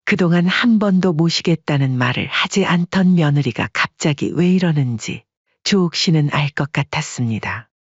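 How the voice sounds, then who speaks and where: Calm, slightly husky woman narrator. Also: deep voice, dry recording, lo-fi sound. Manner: calm, measured storytelling. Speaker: audiobook narrator. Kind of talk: scripted story narration.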